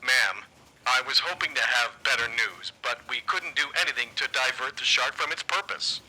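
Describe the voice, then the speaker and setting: A dutiful male space captain, played by an actor, reports apologetically in an audio drama. His voice is husky and very sharp. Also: medium pitch, normal speed, poor audio. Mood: apologetic. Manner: deferential.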